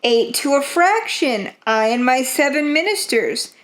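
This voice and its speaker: A woman's voice: high-pitched, animated, unhurried, voice pushed up. A woman playing a tyrannical, blustering king who loves crude jokes, in a dramatic gothic story reading. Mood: eager. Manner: imperious, loud exclamations.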